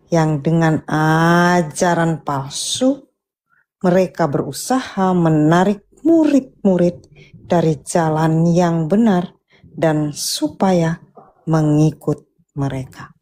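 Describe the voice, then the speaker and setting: A woman reading Bible verses aloud deliberately, one phrase at a time. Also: lowish voice, dark tone. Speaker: scripture reader assisting the preacher.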